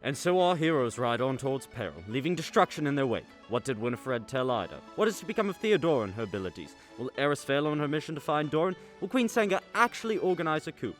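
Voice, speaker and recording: A young man narrates in a dramatic scripted style. His delivery is quick and animated, with music playing behind him. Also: a little high. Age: young adult.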